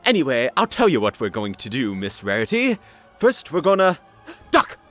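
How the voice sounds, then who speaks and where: Manic, teasing adventurer stallion, acted for a fan audio story: cocky, hugely varied pitch, light music underneath. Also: huge pitch swings, moderate pace. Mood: mock-hurt, breezy. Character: cocky, flippant, manic male alien-hunting adventurer stallion.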